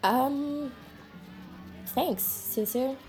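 A woman's voice: high-pitched, drawn-out words, darkish tone, soft background music. An actress playing an earnest, awkward, defensive teenage girl in an audio drama. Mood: flustered, shy. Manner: flustered, hesitant.

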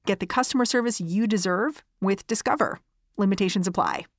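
A woman's voice: medium pitch, a little fast, no echo, clean studio sound. A woman announcer giving an upbeat, polished ad read. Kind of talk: scripted ad read.

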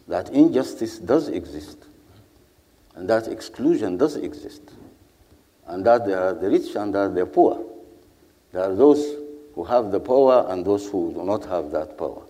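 A man speaks on a panel in a low, dark, mellow voice. He is earnest and rhetorical and builds his case in repeated lists. His words ring out with the long reverb of a large hall. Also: lively intonation, moderate pace. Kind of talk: formal panel speech.

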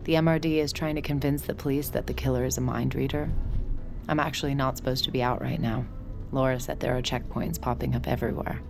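A guarded, forgiving young woman confiding her thoughts in an audio drama. Her voice is strikingly low for a woman, with a lot of vocal fry. The delivery is understated, and music plays clearly underneath. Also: fairly even intonation, fast. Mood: uneasy, confiding. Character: guarded, forgiving young woman with secret mind-reading gift. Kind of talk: audio drama dialogue with confiding inner monologue.

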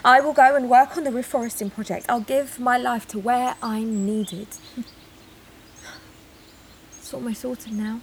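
A woman's voice: on the high side, fairly even intonation, moderate pace, slight background noise, natural voice. A woman acting in an audio drama as an earnest, self-sacrificing woman, solemn and declamatory. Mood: solemn, resolute. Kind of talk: acted audio drama monologue.

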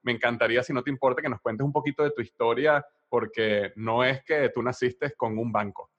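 Podcast host: man, medium pitch, conversational and a bit halting as he frames questions, slightly muffled sound. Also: fairly even intonation. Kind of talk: conversational interview.

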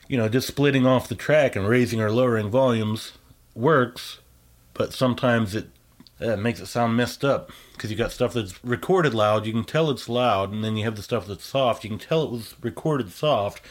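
A man's voice: medium pitch, normal speed. A man chatting casually as an interviewed sound engineer, explaining things in a rambling, colloquial way.

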